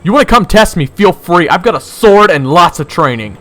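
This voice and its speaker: A man's voice: sits high, animated, fairly quick, a bit bright, slight background noise, voice pushed up. A man playing a blustering but nervous human scout in a fantasy audio drama. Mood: false bravado. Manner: bravado that gives way to stammering.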